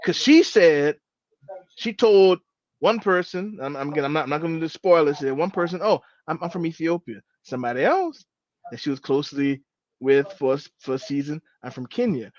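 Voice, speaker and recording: A man on a book club panel, talking in an animated way with halting restarts and playful retellings. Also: a little high, no echo. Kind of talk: casual panel discussion. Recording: dull, dry lo-fi sound.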